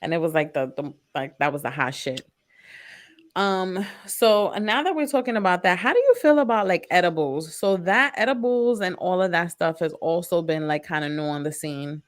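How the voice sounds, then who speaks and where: New York woman host, laid-back chatty talk, slightly low voice, lots of "um" and "like". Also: normal speed. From Brooklyn, New York. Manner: relaxed, chatty, lots of fillers. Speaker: podcast host. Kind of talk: casual chat.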